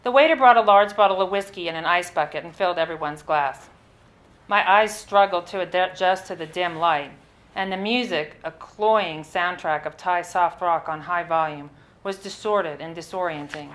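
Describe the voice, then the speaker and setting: A woman reading her own first-person story at a live literary event, in a measured, expressive way with the odd small stumble. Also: lowish voice.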